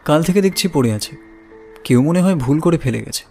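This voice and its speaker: An actor playing a wary, evasive roadside shopkeeper in an audio drama, defensive and colloquial. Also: male voice, a little high, lively intonation, brisk, vocal fry, darkish tone. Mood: casual, wary. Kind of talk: audio story drama acting. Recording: music bed behind voice.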